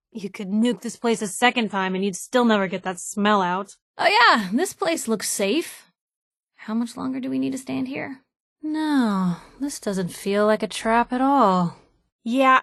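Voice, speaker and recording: A young woman with an American accent playing a plucky, wisecracking reporter companion in a video game, with a wry, expressive delivery. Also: fairly high pitch, dry recording, clean studio sound. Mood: curious, wry, then sarcastic. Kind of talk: video game voice acting, in-character banter.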